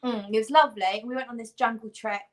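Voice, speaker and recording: A woman's voice: fairly high pitch, fairly even intonation, fast. Rambling, self-deprecating woman telling a story. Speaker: guest. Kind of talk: casual chat and anecdote telling.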